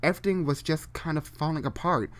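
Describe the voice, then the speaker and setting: A person reading fan fiction aloud live, stumbling and repeating phrases, and breaking off for chatty, informal asides. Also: medium pitch. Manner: halting, repeats and corrects phrases while reading. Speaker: online storyteller.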